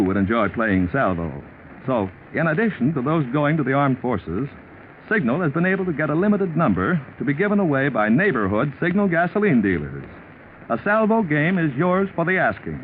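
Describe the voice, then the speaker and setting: Animated, high-pitched 1940s radio announcer man. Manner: polished, salesmanlike. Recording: tinny 1940s radio recording, faint noise.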